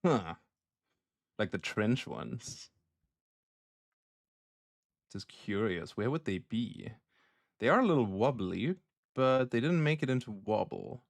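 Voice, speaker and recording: A man's voice: medium pitch, very animated, slow, vocal fry, pauses often, no echo. A man from Germany thinking aloud in a slangy, casual way as he demos a music plugin on a livestream, like a producer at work. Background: synthesizer sounds and music.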